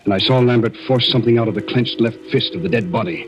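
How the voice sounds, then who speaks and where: An actor playing a scheming murderer who confesses in grim, deliberate, dramatic narration in a 1940s radio play. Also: male voice, mid-range voice, over music, lightly acted. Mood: smug, cold. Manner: dramatic, deliberate, grim first-person telling. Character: scheming murderous man confessing his crime.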